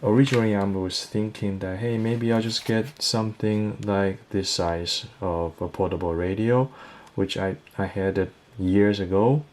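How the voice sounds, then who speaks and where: A man giving a casual unboxing and product review, talking in a halting, think-aloud way. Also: lowish voice, fairly even intonation, a little mellow, slight background noise.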